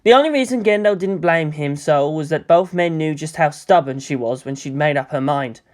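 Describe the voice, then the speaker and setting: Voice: mid-range voice, normal speed. A narrator reading a scripted story aloud as an audiobook of fan fiction.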